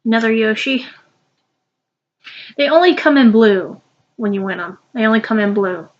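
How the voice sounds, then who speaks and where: A young woman with a stuffy, sniffly nose, talking through a casual unboxing vlog with many pauses and restarts. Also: fairly high pitch, lo-fi sound. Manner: halting, with many ums and restarts. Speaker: vlogger.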